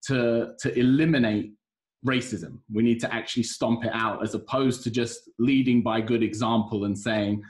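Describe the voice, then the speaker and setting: A man co-hosting a podcast, speaking in a measured, thoughtful way with frequent hesitations. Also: mid-range voice, fairly even intonation, a little mellow. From United Kingdom. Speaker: podcast co-host. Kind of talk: podcast conversation.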